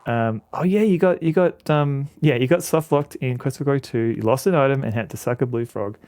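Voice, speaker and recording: A man's voice: mid-range voice, brisk, darkish tone, dry recording. A man streaming a game and chatting casually with viewers, rambling with lots of restarts and fillers. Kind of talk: casual chat with viewers while playing a game.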